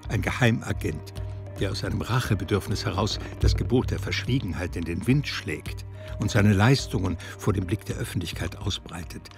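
A male narrator delivers literary prose for a radio drama with solemn, measured phrasing. His voice is lowish and gravelly, with music underneath.

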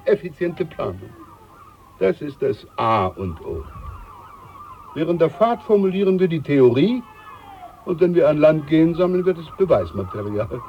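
A man's voice: a little high, highs cut off, slight background noise. A man playing a jovial, scheming older ship's doctor in a German radio play, pompous, overfamiliar and emphatic.

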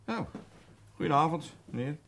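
An actor playing a jumpy, wary small-time crook with a Dutch accent, stiffly polite and defensive in a crime drama. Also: male voice, a little high, expressive, moderate pace, gravelly, fairly bright tone. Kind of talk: television crime drama acting.

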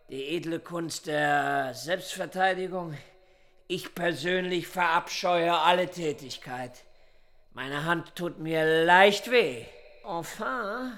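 An actor playing a proud, wild young intellectual in a radio drama, with a declamatory, pompous and erratic delivery. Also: male voice, on the high side, slow pace, a bit bright. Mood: lofty, ironic. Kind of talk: theatrical radio drama acting.

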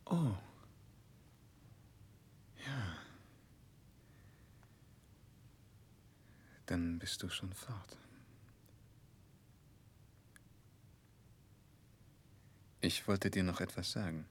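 An actor playing a charming, world-weary young gentleman in a 1960s German radio play, languid and offhand with a melancholy undertone. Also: low voice, expressive, slow pace, slight background noise. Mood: wistful.

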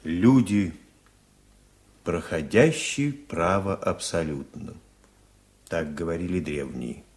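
An actor playing a courteous, dignified male lawyer in a radio drama, measured and theatrical with weighty pauses. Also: deep voice, lively intonation, a little mellow, husky, exaggerated voice. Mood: grave, resolute. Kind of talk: 1980s Soviet radio drama acting.

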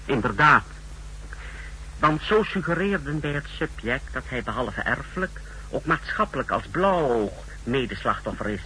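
Sharp-voiced clinical secret service man. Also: medium pitch, lively intonation, moderate pace. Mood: clinical, formal. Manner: formal, precise, explanatory. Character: clinical secret service technician briefing his superior. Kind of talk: 1960s radio drama acting. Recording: noisy, humming 1960s radio recording.

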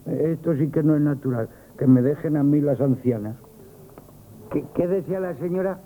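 An actor in a Spanish radio comedy playing a nervous, lovestruck young grocery lad, gushing and stammering with an accent from Spain. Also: male voice, a little high, moderate pace, narrow, tinny audio, slight background noise, lightly acted. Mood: eager patter. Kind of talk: 1970s radio comic theatre acting. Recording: band-limited vintage radio broadcast.

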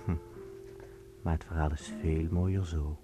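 An actor playing a sinister, mocking stranger in a Dutch radio play, with a sly, insinuating delivery. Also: male voice, very deep voice, flat delivery, measured pace, mellow, faint music behind. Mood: mocking, ominous.

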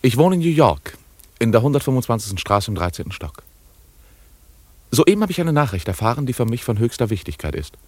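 A man's voice: mid-range voice, very animated, fairly quick, no room sound. A radio-play private detective with a mid-range voice. He is intent and impatient, narrating his own case in the first person and pressing others for answers. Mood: measured, confiding. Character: New York private detective, urgent and impatient. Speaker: actor playing narrator and lead.

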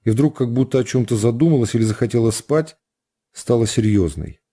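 Mellow male literary narrator, measured delivery. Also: medium pitch. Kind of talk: scripted literary narration for radio.

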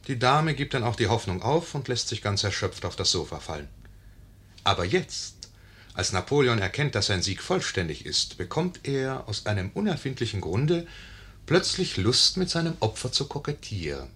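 Ironic radio play narrator, rough-edged husky voice, animated intonation, theatrical, meddling, conspiratorial, 1950s style. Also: male voice, medium pitch, normal speed. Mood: ironic, exasperated. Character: wry, meddling narrator addressing the audience.

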